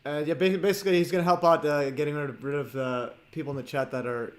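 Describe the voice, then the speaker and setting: A man hosting a livestream, chatting casually with many fillers and pauses. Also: on the high side, steady tone. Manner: filler-heavy, chatty, laughs often. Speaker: host.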